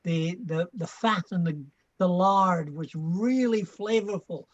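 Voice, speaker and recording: Older Scottish man, high voice, easygoing storyteller on a dull-sounding call. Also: slow. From Scotland. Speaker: reading group member. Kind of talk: casual chat.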